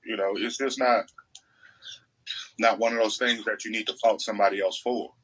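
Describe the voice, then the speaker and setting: A man's voice: on the low side, fairly even intonation, normal speed, fairly bright tone, husky, rough recording. An American man chatting casually as a podcast co-host, making opinionated points emphatically and repeating phrases.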